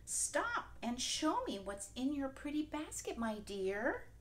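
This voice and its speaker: A cunning storybook wolf speaks in a sugary sing-song, as a woman reading aloud puts him on. His voice rides up high and slow, swooping with lively pitch. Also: heavily acted. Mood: fake-sweet. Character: sly hungry male wolf, fake-sweet.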